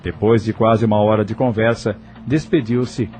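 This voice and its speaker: A man's voice: lowish voice, steady tone, moderate pace, darkish tone. A man with a Brazilian accent narrating a scripted audio novel. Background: music.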